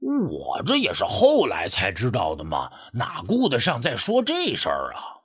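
A man's voice: mid-range voice, huge pitch swings, a little slow, fairly bright tone, husky, heavily acted. A man playing a sly, sheepish rural man in an audiobook, stammering and wheedling as he angles for money. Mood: wheedling, insistent. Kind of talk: acted audiobook dialogue.

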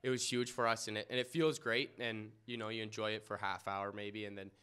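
Young guy at a podium mic after a game, flat low voice, lots of um and you know. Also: smooth. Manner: humble, halting, many fillers and restarts. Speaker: lacrosse goalie. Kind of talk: post-game press interview. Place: indoor press room. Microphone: podium mic in front.

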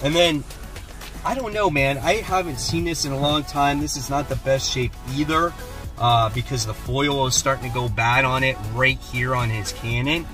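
A man in his forties hosting a casual vlog from a parked car, chatty and relaxed, filmed on a phone at arm's length. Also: medium pitch, normal speed, music in the background. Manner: relaxed, chatty, enthusiastic about his finds. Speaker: comic book channel host. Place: parked car, then outdoors and inside a shop. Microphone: phone camera at arm's length.

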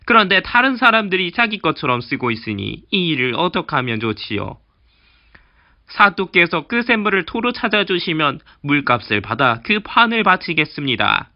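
A man's voice: a little high, sing-song, normal speed, muffled recording, pitched above the performer's own voice. A man voicing a greedy, scheming old village man who pleads and wheedles with an official in a storybook reading. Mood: wheedling.

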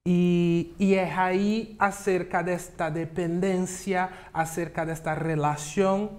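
Slow, halting man in a TV studio. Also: high voice, level tone. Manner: slow, thoughtful, halting with repeats. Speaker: studio guest. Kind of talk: studio interview talk. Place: TV studio set.

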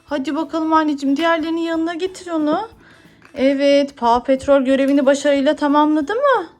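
A woman's voice: sits high, a little fast, a little mellow, soft background music. A woman talking as a mother playing toys with her child, narrating the play in a coaxing, encouraging way.

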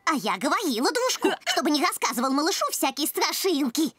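A sensible cartoon girl with a high voice and a wry, chiding manner. Her intonation is very animated. Music runs clearly behind the speech. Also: fairly quick, no echo. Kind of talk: children's cartoon voice acting.

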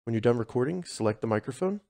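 A man hosting a tech tutorial, giving clear step-by-step instructions in scripted narration. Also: mid-range voice, lively intonation, fast talker, mellow, no room sound, clean studio sound. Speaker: host of tech tutorial channel.

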